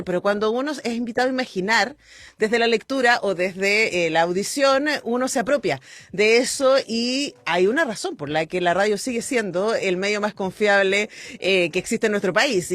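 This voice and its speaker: A woman hosts a radio interview in a Chilean accent. Her voice sits at an average pitch and is recorded dry, with no room around it. She speaks in a conversational way. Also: dry recording. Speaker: radio host.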